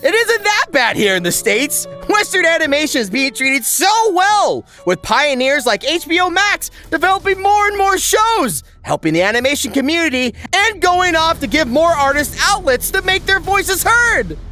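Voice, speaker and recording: High, bright-voiced video essayist, very lively, sarcastic and sweary, music underneath. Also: moderate pace, few pauses. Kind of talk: energetic scripted video essay narration with sponsor read. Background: background music.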